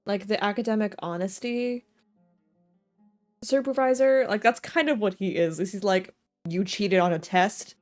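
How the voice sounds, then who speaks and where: A woman's voice: medium pitch, normal speed. Woman streamer, slangy jokey reactions, casual chat over game music. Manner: jokey, slangy, self-deprecating. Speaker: video game livestreamer. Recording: game music playing behind voice.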